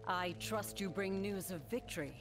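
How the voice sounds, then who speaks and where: A woman's voice: medium pitch, moderate pace, music in the background, close to an everyday voice. A woman playing a proud, commanding rogue captain in a video game. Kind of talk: video game character acting.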